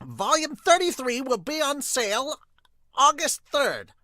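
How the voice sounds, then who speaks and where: A man voicing an excited, urgent volleyball announcer in a manga dub, with loud, punchy calls. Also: very high-pitched, measured pace, dry recording, clean studio sound, voice pushed up, heavily acted. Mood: dramatic announcing.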